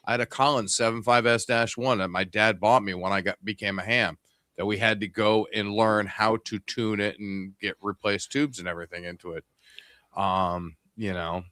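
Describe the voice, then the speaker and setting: A man's voice: lowish voice, bright, forceful tone, dry recording. Rambling fifties man, dry sound. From upstate New York. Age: 50s. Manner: rambling, many fillers and restarts. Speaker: ham radio stream host. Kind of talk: casual group chat on a livestream.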